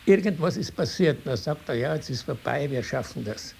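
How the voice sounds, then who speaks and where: An older man with a Tyrolean Austrian accent, recalling his life in spontaneous, halting, rambling talk. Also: mid-range voice, expressive, gravelly, a little mellow. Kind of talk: spontaneous oral reminiscence. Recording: hissy archival interview tape.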